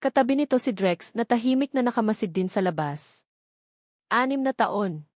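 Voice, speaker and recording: A woman with a Filipino accent reading scripted romantic drama narration in a measured, emotive way. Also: a little high, lively intonation, darkish tone, no room sound, clean studio sound. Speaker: audiobook story narrator.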